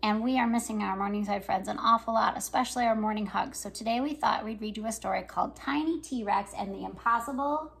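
A woman's voice: medium pitch, brisk, creaky, few pauses, a bit bright, husky. A woman, talking to young pupils at a children's story time. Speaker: school staff member reading a story to children. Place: living room at home. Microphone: camera or phone a short distance away.

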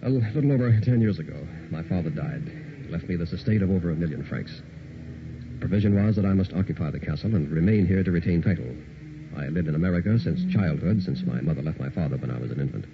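Very deep-voiced, troubled count. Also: male voice, expressive, quick pace, creaky. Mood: somber, recounting. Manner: grave, hesitant, subdued. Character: troubled, weary young count of a lonely castle. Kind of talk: old-time radio horror drama acting. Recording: muffled, hissy old radio recording.

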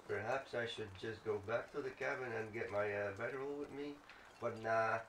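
A man's voice: on the low side, fairly even intonation, normal speed, a bit bright. A man giving casual, rambling gameplay commentary, thinking aloud as he plays. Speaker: let's play gamer. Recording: roomy, distant mic, faint noise.

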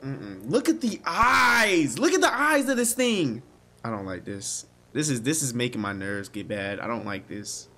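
Animated young man reacting to videos. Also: mid-range voice, a little slow. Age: 20s. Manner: slangy, exclamatory. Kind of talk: casual reaction commentary. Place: small bedroom, indoors.

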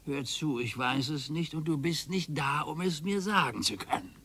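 An actor playing a bored, complaining retired husband in his sixties in a radio play, with a slightly husky, slow, whiny way of talking. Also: a little high, expressive, bright, forceful tone, full character voice. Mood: fretful. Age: 60s.

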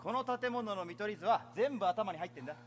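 A daring young lawyer speaks with boyish, dramatic self-assurance. His voice is high and swoops freely. Music plays clearly behind him in the theatre. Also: expressive, a little fast. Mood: brisk, eager. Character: cocky, daring young male undercover lawyer. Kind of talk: theatrical stage acting. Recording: theatre stage recording with music.

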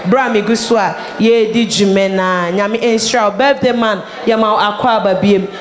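A woman's voice: mid-range voice, steady tone, moderate pace. A woman with a Ghanaian accent, a native Twi speaker, hosting a party as master of ceremonies and mixing languages in an emphatic, exclamatory way. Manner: exclamatory, emphatic, repeats phrases. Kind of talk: live event hosting, mixing local language and English. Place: party venue, amplified to guests. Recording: echoey PA at a crowded event.

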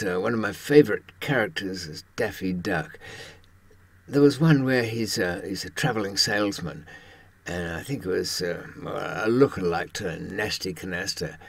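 Husky older man, low and animated. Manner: wry, storytelling, with rhetorical questions. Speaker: presenter. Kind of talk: conversational monologue to camera. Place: indoors, in front of a green screen.

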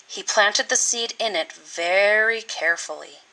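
Bright-voiced woman reading a storybook, unhurried. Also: medium pitch, low-quality recording. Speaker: teacher reading a picture book. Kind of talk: expressive storybook read-aloud for children.

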